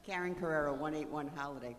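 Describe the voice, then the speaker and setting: A woman resident at a meeting, briskly and insistently reminding staff of an unfinished job. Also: sits low. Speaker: resident asking a question. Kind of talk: casual meeting question. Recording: reverberant hall.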